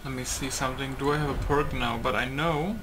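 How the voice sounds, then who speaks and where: A man's voice: mid-range voice, steady tone, fairly quick. A man giving casual, rambling playtest commentary on a video game, thinking aloud. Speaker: gaming video maker. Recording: game noise behind the voice. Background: video game ambience.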